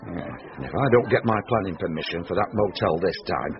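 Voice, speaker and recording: An actor with a Northern English accent playing a brash, shady, self-made club boss in a radio drama, folksy and blustering. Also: male voice, deep voice, animated, a little fast. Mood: hard, scheming. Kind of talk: British radio drama acting. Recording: dull lo-fi radio broadcast.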